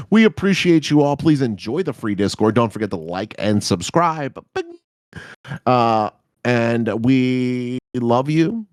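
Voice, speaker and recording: A man in his thirties co-hosting a podcast, talking loud, loose and profane. He rambles and jokes in a middle-pitched voice. The sound is close and dry, with no room echo. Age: 30s. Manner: loud, rambling, swearing, jokey. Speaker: podcast co-host. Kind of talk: profane casual podcast banter.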